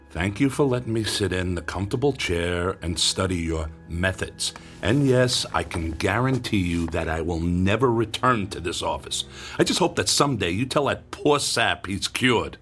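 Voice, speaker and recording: An actor playing a cynical, combative writer with a sarcastic, wry manner in a radio drama. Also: male voice, low voice, expressive, a little slow, a bit bright, faint music behind. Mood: dry, skeptical. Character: cynical, combative male conspiracy-book writer.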